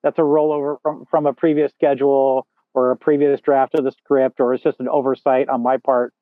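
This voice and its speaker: A man with a loud, booming voice talks loosely as a podcast guest, looping through fillers and restarts. The sound is thin and phone-like, with no room in it. His pitch sits at an ordinary middle height. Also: little pitch movement, fairly quick, dry recording. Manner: rambling, frequent fillers and restarts. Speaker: podcast guest, first assistant director. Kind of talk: casual interview chat. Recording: dry tinny phone-like sound.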